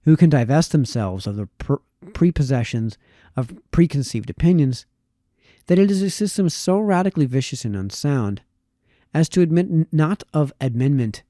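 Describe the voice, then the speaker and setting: Mellow man reading aloud, dry sound. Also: mid-range voice, animated, no echo. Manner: measured, formal read with occasional stumbles. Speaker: reader. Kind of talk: reading aloud a historical political text.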